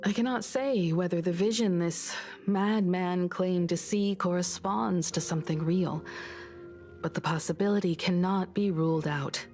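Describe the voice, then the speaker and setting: An actress voicing a grave, brooding senior investigator in a video game, speaking in a measured, solemn way. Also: female voice, lowish voice, a little mellow, faint music behind. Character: grave, brooding senior investigator woman, troubled by past tragedy. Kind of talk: dramatic video game voice acting.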